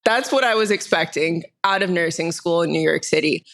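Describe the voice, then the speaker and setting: A woman in her thirties from Texas telling her story casually as an interview guest, chatty and quick to laugh. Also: lowish voice, fairly bright tone. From Austin, Texas, United States. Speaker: podcast guest, nurse injector.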